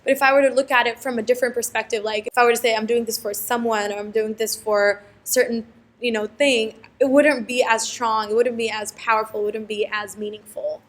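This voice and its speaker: Young woman from Saudi Arabia, fairly high voice, thoughtful and emphatic. Student interview subject. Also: steady tone, a little fast. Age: young adult. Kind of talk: reflective interview answers.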